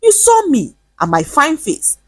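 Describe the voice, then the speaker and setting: A woman's voice: sits high, wildly swooping pitch, a little slow, no echo, voice pushed up, full character voice. A woman putting on a lazy, whiny young husband with a lilt. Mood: defensive. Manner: lazy, drawling.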